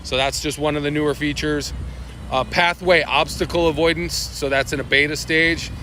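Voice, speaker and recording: A man's voice: on the high side, steady tone, moderate pace, bright, forceful tone, slight background noise. A man hosting a casual live walkthrough of product updates, talking loosely with lots of fillers and restarts. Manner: loose and rambling, with many fillers, restarts and asides. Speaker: livestream host presenting product updates.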